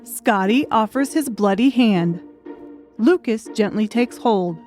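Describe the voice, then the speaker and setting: A woman's voice: on the high side, lively intonation, slow pace, a little mellow. A woman reading a scripted audiobook story as a dramatic narrator. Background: music.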